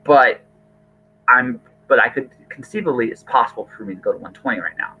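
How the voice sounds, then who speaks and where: A man's voice: medium pitch, a little fast, sharp tone, muffled recording, a little hiss or hum. A man talking casually as a podcast host, earnest and rambling, often restarting his phrases.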